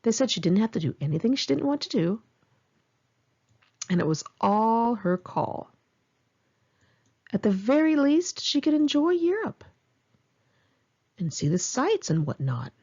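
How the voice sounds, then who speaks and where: A woman's voice: on the high side, very animated, measured pace, long pauses, warm tone, husky, lo-fi sound. A middle-aged woman reading her memoir aloud in one take, candid and wry, with casual swearing. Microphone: home microphone.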